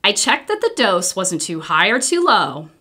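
A woman's voice: medium pitch, big pitch swings, moderate pace, sharp tone. An American woman voicing a cheerful, caring pharmacist in a children's storybook, upbeat and instructive. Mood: reassuring.

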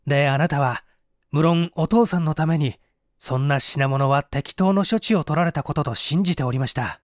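A man voicing an earnest, composed young gentleman, formal and measured, in an audiobook drama. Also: fairly high pitch, dry recording, studio quality, voice pushed down, lightly acted. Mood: grave.

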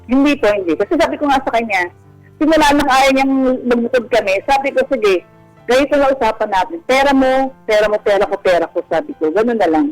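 A woman speaks as a caller on a radio advice programme. She shares her own story in a casual way, with halting and broken-up phrasing. Faint music plays underneath. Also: fairly high pitch, no echo, poor audio.

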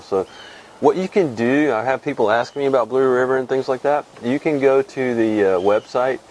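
A man in his fifties from Texas hosts a laid-back fly fishing report, talking slowly with frequent pauses. His voice sits low. Faint outdoor ambience hums behind his lapel mic. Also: slight background noise, rough recording. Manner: relaxed and rambling, many uh and um pauses. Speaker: fly fishing show host. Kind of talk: casual weekly fishing report to camera. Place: outdoors under a shed with corrugated metal wall.

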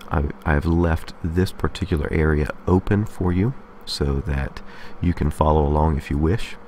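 A man's voice: extremely low pitch, steady tone, moderate pace, dark tone, slight background noise. A man hosting a casual game building tutorial, explaining methodically step by step and often checking in with a short okay. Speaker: tutorial host.

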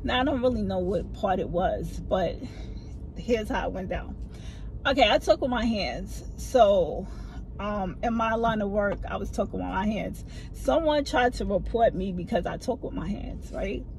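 Middle-aged woman vlogger, casual storytime from her car seat, low voice, expressive. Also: moderate pace, a little hiss or hum, poor audio. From family from the American South. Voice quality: low voice that people find hard to hear. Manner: animated, talks with her hands. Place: parked car, inside. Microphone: phone camera mounted in front of her.

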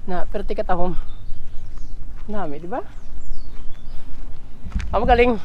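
A man's voice: high-pitched, normal speed, a little hiss or hum. A young man with a Filipino accent, chatting casually to viewers in a travel vlog, filming himself on an action camera outdoors. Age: young adult. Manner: chatty, switching between English and local talk, addressing viewers. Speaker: travel vlogger. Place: outdoors on a sunny hilltop and coast. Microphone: action camera held at arm's length.

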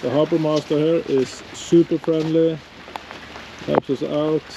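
Man talking over loud wind on a boat. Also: fairly high pitch, little pitch movement, creaky. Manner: halting, many "uh" fillers, plain explaining. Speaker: sailing vlogger, boat captain. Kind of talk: casual narration on deck. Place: outdoors on a sailboat. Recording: loud wind and engine noise.

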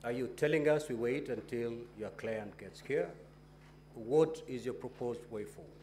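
A middle-aged man with a Kenyan English accent presiding as Speaker over formal chamber proceedings, speaking with halting authority through a desk microphone. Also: medium pitch, a little mellow, a little hiss or hum. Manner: authoritative, halting, with rising emphasis. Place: large parliamentary chamber.

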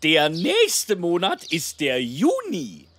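An actor voicing a clever, pompous male gander who teaches in a drawn-out, didactic way in a children's cartoon. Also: mid-range voice, huge pitch swings, fairly bright tone, a little hiss or hum, heavily acted. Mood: instructive.

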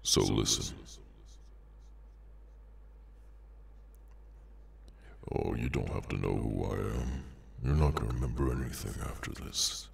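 A man voicing a weary, menacing demonic henchman in an ASMR audio roleplay, sardonic and low-key, with a gravelly voice. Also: fairly even intonation, heavily acted. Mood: weary, annoyed. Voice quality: very deep, gravelly, creaky. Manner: slow, low-key, casually sinister, sardonic.

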